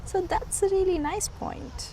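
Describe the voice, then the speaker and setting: A woman's voice: very high voice, unhurried, a crowd in the background. A woman hosting a casual interview, encouraging her guest and agreeing often. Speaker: interviewer, host.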